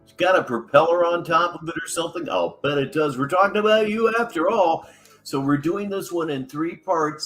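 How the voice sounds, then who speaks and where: A man hosting a reaction stream, making dry, mocking commentary on a clip. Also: on the high side. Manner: dry, mocking, animated delivery. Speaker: commentary host.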